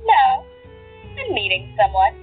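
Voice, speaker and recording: A woman's voice: on the high side, big pitch swings, takes it slowly, slightly rough, heavily acted. An actress playing a jaded, flirtatious woman thief in an audio drama, with wry, naturalistic delivery. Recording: muffled narrow-band sound, faint music bed.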